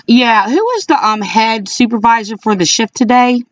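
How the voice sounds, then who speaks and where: A man speaking informally as an aggrieved caller, in a halting, repetitive way, recording complaints about calls. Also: extremely high pitch, expressive, fairly bright tone, no room sound, recorded from a distance, lo-fi sound. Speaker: caller recording own phone calls to a police dispatcher. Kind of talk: informal complaint to camera and on phone.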